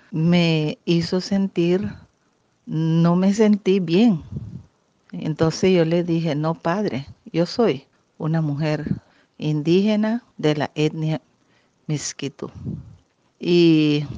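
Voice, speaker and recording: A Miskito-speaking woman from Nicaragua, answering interview questions in an earnest, deliberate way. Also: on the low side, dark tone, no echo. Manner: earnest, deliberate, frequent "¿verdad?" tag. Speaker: guest. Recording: muffled lo-fi audio.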